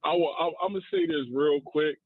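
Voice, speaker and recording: A man's voice: on the high side, huge pitch swings, fairly quick, creaky, dry recording. A man with a Baltimore accent, calling a sports radio show and making his point emphatically in long runs. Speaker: caller. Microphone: phone. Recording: phone line.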